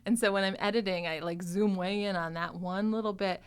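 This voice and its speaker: A woman hosting a casual chat, telling stories in an animated way and quoting other people's lines. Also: mid-range voice, fairly quick, clean tone. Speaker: podcast host and show editor.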